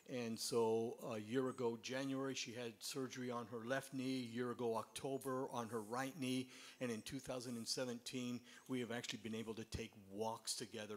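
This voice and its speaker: A man sharing a plain, matter-of-fact personal story at a community gathering. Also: medium pitch. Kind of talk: personal testimony.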